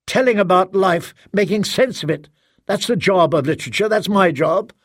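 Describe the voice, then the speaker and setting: A man voicing a helpless, defensive middle-aged father who is a writer, speaking haltingly in an audiobook. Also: high voice, animated, no room sound, studio quality. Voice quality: hesitant, halting. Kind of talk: audiobook character acting.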